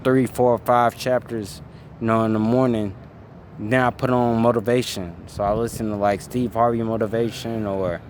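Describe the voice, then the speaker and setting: A man in his twenties being interviewed, talking in a laid-back, casual way and often trailing off softly. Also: lowish voice, level tone, normal speed, a little hiss or hum. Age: 20s.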